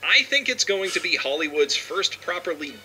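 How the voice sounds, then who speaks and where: A man's voice: sits high, lively intonation, moderate pace, sharp tone, faint music behind, rough recording. A man delivering scripted video essay commentary in an opinionated, wry, emphatic way.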